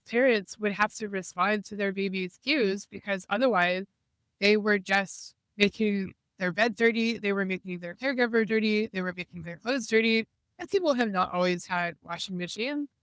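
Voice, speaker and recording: A woman's voice: mid-range voice, fairly bright tone, dry recording. A woman with a slightly congested voice hosting a podcast, explaining things earnestly and encouragingly as she talks while driving in a car. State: congested from a cold. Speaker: podcast host. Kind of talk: casual podcast monologue.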